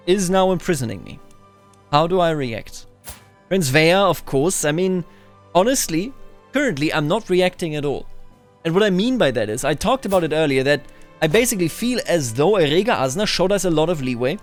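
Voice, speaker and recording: Male gaming host, slightly high voice, theatrical musing roleplay commentary over soft background music. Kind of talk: roleplay strategy game commentary. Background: game music.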